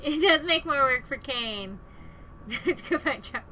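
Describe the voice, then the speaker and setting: A woman's voice: high voice, animated, slow, sharp tone, a little hiss or hum. A woman giving casual, playful commentary as she plays a game, with a silly, jokey manner. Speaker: game streamer. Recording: game music behind the voice.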